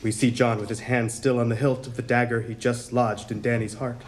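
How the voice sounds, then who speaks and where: Male table-read narrator, medium pitch, dramatic screenplay delivery, slight room noise. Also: little pitch movement, brisk. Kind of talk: dramatic reading of screenplay directions. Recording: room with faint noise and crowd.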